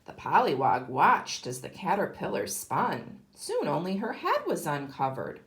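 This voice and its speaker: Woman, kindergarten teacher, children's read-aloud, unhurried, sing-song swings in pitch, emphatic. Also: wildly swooping pitch. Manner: deliberate, emphatic, child-directed.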